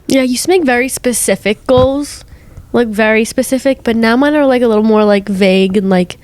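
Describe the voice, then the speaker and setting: A young woman co-hosting a podcast while congested, chatting in a relaxed, rambling way with lots of fillers. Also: a little high, a little fast, no echo. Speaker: podcast co-host. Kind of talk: casual chat.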